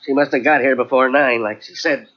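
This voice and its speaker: An actor playing a brisk police lieutenant with dry wisecracks, in a 1940s radio drama. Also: male voice, mid-range voice, fairly even intonation, close to an everyday voice. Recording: dull lo-fi old radio recording.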